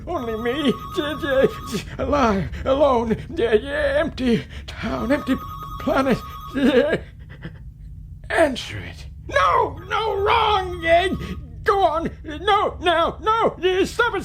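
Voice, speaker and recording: Frantic, creaky old man, high-pitched. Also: lively intonation, slow pace, a bit bright, slight background noise, heavily acted. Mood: frantic, panicked. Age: older. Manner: agitated, stammering, muttering to himself. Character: frantic, lonely old man stranded alone on Mars. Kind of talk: radio drama acting.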